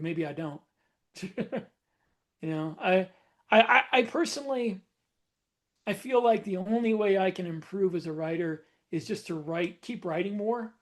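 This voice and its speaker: A man co-hosting a podcast as an author, talking casually and modestly, often restarting his sentences. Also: high-pitched. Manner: self-deprecating, hesitant restarts.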